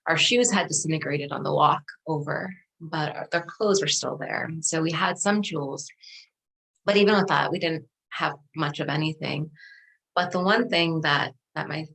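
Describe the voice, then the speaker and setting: Interview guest: middle-aged woman, native Dari speaker, low-pitched voice, recounting personal history thoughtfully. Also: normal speed, fairly bright tone, poor audio. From Afghanistan and Pakistan.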